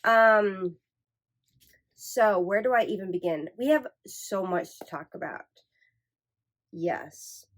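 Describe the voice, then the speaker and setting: A woman's voice: medium pitch, lively intonation, slow, pauses often. A woman from Michigan hosting a knitting podcast, chatting in a rambling, self-correcting way and sounding nasal from a cold. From Michigan, USA. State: congested from a cold. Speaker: podcast host. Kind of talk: casual chat.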